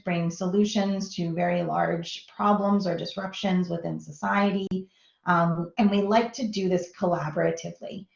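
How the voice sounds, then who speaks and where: A woman's voice: lowish voice, vocal fry, roomy sound, muffled recording. A woman from Minnesota with a Minnesota American accent, giving an upbeat, chatty guest lecture over a video call. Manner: chatty, upbeat, laughs often. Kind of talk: online lecture.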